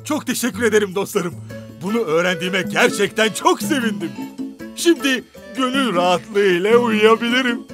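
A man voicing an excitable, kind-hearted toy dump truck in a children's cartoon, with exaggerated, expressive delivery. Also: high voice, normal speed, over music, natural voice. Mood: grateful, content.

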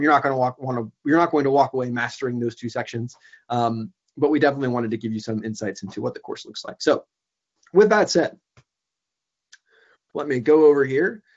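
A young man presenting a software training webinar over a video call, explaining in a casual way with many ums and uhs, and a bit hoarse from losing his voice. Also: mid-range voice, lo-fi sound. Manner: casual, filler-heavy explaining. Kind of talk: screen-share software demo. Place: small room indoors. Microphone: video call webcam.

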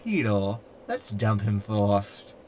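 A man's voice: lowish voice, sing-song, slow pace, a little mellow, slight background noise. A man playing a sardonic, know-it-all hologram in a sci-fi sitcom audio drama, pompous and wordy. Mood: irritated.

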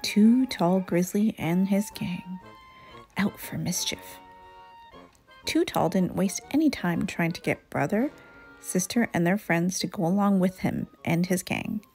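Slow, bright-voiced woman reading a children's story. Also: lowish voice, animated, soft background music. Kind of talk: expressive children's story narration.

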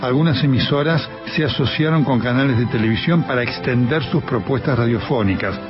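A man with an Argentine Spanish accent narrates a radio history in a measured, formal way. His voice sits at a middle pitch. The sound is slightly dull, with clear music playing underneath. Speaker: documentary narrator.

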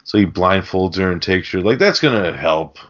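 A man with a fairly low voice hosts a casual film podcast. He rambles through a crude, comic, swear-laden recap. The sound is a little dull and lo-fi. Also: animated, moderate pace. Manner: rambling, crude joking delivery with mimicked lines. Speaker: podcast host.

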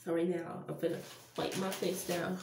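Young woman, congested nasal voice, lowish pitch, chatty vlogger, echoey tiled bathroom, camera a few feet away. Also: level tone, normal speed, noisy background. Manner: chatty, addresses viewers as y'all. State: congested, stuffy nose from allergies. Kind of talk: casual Halloween makeup vlog. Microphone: camera on a stand a few feet away. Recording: roomy bathroom echo, distant camera mic.

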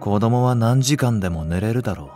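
A man's voice: lowish voice, animated, moderate pace, warm tone, faint music behind, natural voice. An actor playing a blunt, lazy, jaded male nursery teacher in a Japanese voice drama, talking offhandedly. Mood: cool, jaded. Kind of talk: Japanese anime-style voice drama.

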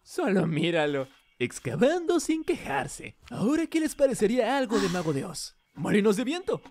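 A man's voice: sits high, big pitch swings, normal speed, darkish tone. A man voicing a flamboyant, mischievous young cat bootlegger and poet in a cartoon dub, theatrical and teasing, with a Mexican Spanish accent. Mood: playful. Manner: grandiloquent, teasing. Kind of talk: theatrical animated fandub. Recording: music behind the voice.

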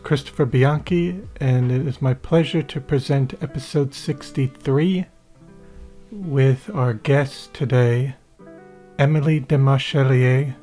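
Man, podcast host, formal ornate delivery, soft guitar music behind. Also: medium pitch. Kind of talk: scripted introduction and interview questions.

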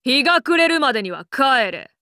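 A woman voicing a brash, rough but caring tomboy warrior in an anime game, with blunt, cocky, masculine speech. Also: sits high, big pitch swings, moderate pace, bright, forceful tone, dry recording, studio quality, full character voice. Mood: casual, bossy. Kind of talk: Japanese anime game character lines.

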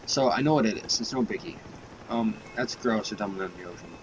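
A young man talks through a game with a plugged nose and a slightly raspy voice from a cold. He rambles, swears casually and fills gaps with "like". Gameplay noise runs clearly behind him. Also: fairly low pitch, quick pace. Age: young adult. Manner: rambling, swears often, many fillers. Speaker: gaming youtuber.

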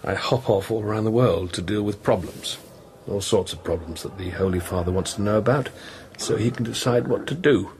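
A man playing a gentle, probing priest in a radio drama, speaking thoughtfully with careful questions and pauses. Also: on the low side, slight background noise, close to an everyday voice. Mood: kindly, reflective. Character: gentle, probing priest, an investigator sent from Rome. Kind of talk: naturalistic radio drama acting.

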